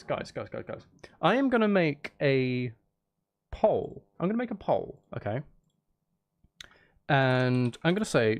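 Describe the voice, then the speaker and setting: A man livestreaming casually, rambling and thinking aloud as he chats with viewers. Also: medium pitch. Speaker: livestreamer making music live. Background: music and synth sounds.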